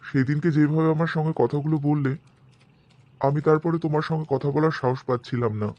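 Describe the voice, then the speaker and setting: A man's voice: a little high, steady tone, fast talker, a little mellow, muffled recording, close to an everyday voice. A man playing a devoted, flustered young office worker fiancé in a cartoon drama. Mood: flustered, eager.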